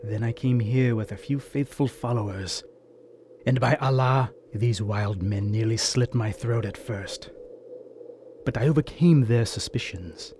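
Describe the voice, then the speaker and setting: A man playing a coldly courteous, gloating bandit chief in an audio drama, with florid, formal and measured speech. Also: lowish voice, background noise, close to an everyday voice. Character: gloating bandit chief, scarred former slave trader, coldly courteous villain.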